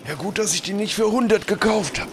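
Male player as shy simpleton: raised thin pitch, naive, hesitant delivery, improvised audio play, slight background noise. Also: fast talker, lightly acted. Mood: curious, mild.

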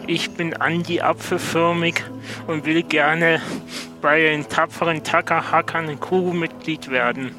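A man speaking in a plain, earnest, deliberate way as a guest player in an improvised audio adventure. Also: a little high, steady tone, over music.

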